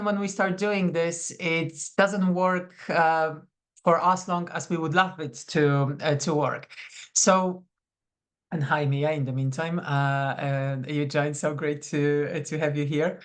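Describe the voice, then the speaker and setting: A woman from Poland, a coach leading a live online masterclass in a chatty, welcoming way over a video call. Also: low-pitched. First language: Polish. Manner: welcoming, chatty, greets attendees by name. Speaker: psychonutrition coach.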